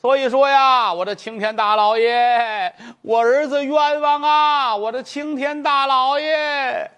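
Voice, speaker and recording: A man voicing an elderly mother who pleads in court, crying out her lament in a drawn-out sing-song. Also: high-pitched, animated, a bit bright, voice pushed up. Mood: pleading. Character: wailing grief-stricken old mother pleading in court.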